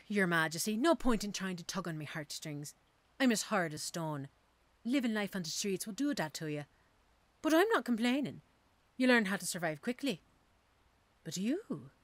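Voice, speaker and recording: A woman's voice: medium pitch, a bit bright, dry recording. Woman acting a cocky, theatrical mercenary woman, swooping intonation. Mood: wary, guarded, then incredulous. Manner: teasing, theatrical, sardonic. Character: cocky female mercenary assassin, wry, street-hardened, playful. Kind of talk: audio roleplay drama acting.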